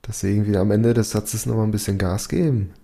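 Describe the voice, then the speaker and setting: Native portuguese guy, really deep voice, gravelly, dark tone, kinda halting casual talk. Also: steady tone. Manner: hesitant, restarts a lot. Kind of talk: casual chat.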